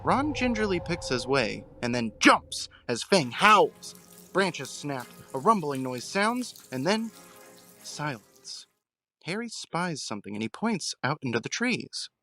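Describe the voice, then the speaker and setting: A man's voice: a little high, very animated, unhurried, sharp tone, faint music behind. A man reading scripted narration for a fan audio drama, recorded close on the mic. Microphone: close mic, dry recording.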